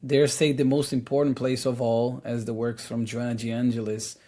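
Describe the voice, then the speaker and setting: A man's voice: mid-range voice, little pitch movement, moderate pace, warm tone. A man in his thirties co-hosting a spiritual talk, explaining earnestly with many "you know"s and "like"s. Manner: earnest, explanatory, filler-heavy. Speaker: podcast co-host. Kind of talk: casual spiritual discussion.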